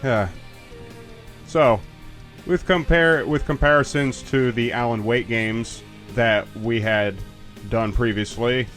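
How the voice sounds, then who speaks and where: A man's voice: mid-range voice, fairly even intonation, slow pace, fairly bright tone, faint music behind. A man casually chatting and joking as a commentator while a game's credits roll. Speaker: let's play commentator. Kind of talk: casual game commentary chat.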